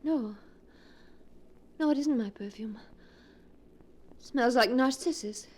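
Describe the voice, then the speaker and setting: A woman's voice: fairly high pitch, slow. A woman acting an anxious, devoted wife in an eerie 1940s radio melodrama, theatrical and earnest. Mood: uneasy. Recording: vintage 1940s radio recording with music.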